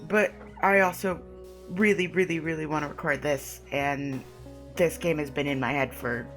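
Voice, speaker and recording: Young woman let's player, congested and sniffly, lower voice, excitable casual chatter, faint music bed. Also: normal speed. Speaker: gaming video creator. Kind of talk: let's play commentary and reading game text.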